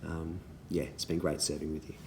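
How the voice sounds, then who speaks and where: A man, a little hoarse from losing his voice, giving earnest thank-you messages to youth leaders with many ums and restarts. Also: very deep voice, level tone, brisk, a little mellow, slight background noise.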